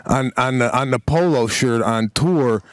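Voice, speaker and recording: A man's voice: medium pitch, unhurried, no echo. A middle-aged male comedian co-hosting a podcast, telling stories animatedly in casual talk, acting out quotes and calling people bro, on a handheld mic in a small studio. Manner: animated, acts out quotes, repeats words, calls people bro. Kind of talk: casual storytelling.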